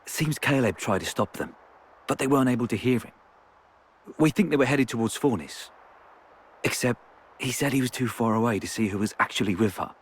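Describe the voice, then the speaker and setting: A man with a husky voice playing an earnest, reassuring comrade in a video game. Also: mid-range voice, fairly quick, pauses often, natural voice. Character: earnest, reassuring male comrade bringing news. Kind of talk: video game cutscene acting.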